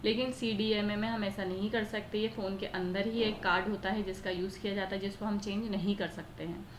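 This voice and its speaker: A woman's voice: mid-range voice, fairly even intonation, fairly quick. An Indian woman teacher explaining a lesson step by step, often asking questions and answering them herself. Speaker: computer teacher. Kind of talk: explanatory lesson in Hindi mixed with English terms. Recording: echoey room with faint background noise.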